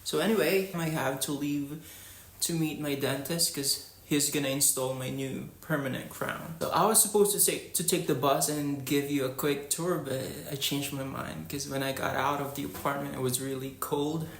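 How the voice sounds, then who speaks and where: A man's voice: on the high side, fairly even intonation, moderate pace, gravelly, fairly bright tone. A young man from the Philippines with a Filipino accent, talking casually to the camera as a vlogger. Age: young adult. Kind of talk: casual vlog talk to camera.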